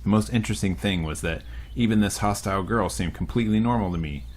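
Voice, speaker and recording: A young man with a notably deep voice hosts a let's play, reading a visual novel's story aloud. He breaks off into crude jokes and wisecracks between the lines, with faint noise behind. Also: fast talker, a little mellow. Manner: crude joking asides.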